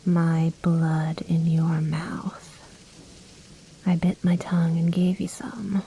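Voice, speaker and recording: A woman playing a commanding, possessive vampire noblewoman in an intimate ASMR roleplay, speaking in breathy whispers with a haughty, coaxing, softly menacing manner. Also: lowish voice, completely flat delivery, slow pace, slight background noise, poor audio. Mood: stern, menacing.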